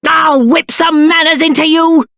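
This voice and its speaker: A man's voice: extremely high pitch, steady tone, measured pace, creaky, sharp tone, dry recording, clean studio sound, full character voice. A male actor playing a spoiled, cowardly young prince in a video game, with a British accent and haughty, whiny, aristocratic diction. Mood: furious, shrill. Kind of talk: theatrical video game character acting.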